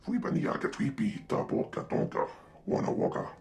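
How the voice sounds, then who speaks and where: An actor playing an excitable, gravelly male alien creature babbling in an invented tongue for a video game. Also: moderate pace, natural voice. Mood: agitated.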